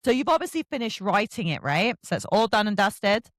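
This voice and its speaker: A woman hosts a casual interview with a very animated, swooping voice at a middling pitch. She is effusive and chatty and likes to act out small exchanges. The recording is dry and close. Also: a little fast, no echo. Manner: effusive, chatty, acts out little dialogues. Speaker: podcast host.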